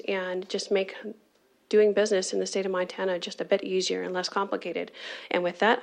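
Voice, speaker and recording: A woman legislator formally presenting a bill to a committee, reading prepared remarks in a measured way with occasional fillers. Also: mid-range voice. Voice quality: gravelly.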